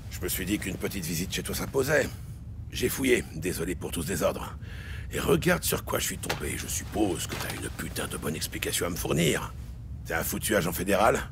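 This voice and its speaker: An actor playing a bitter, weary police lieutenant in a French noir audio drama, with a gravelly, brooding, terse delivery. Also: male voice, sits low, very animated, brisk, noisy background, full character voice. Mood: menacing, probing. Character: hard-boiled, bitter, weary male New York police lieutenant. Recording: studio drama with loud music behind.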